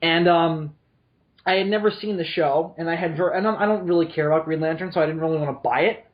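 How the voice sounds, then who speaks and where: A young man from New York with a light New York accent and a high-pitched voice talks casually, telling stories with plenty of ums and restarts. The audio is muffled and lo-fi. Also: fast. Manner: rambling storyteller with many fillers and restarts. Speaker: podcast host. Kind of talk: casual chat.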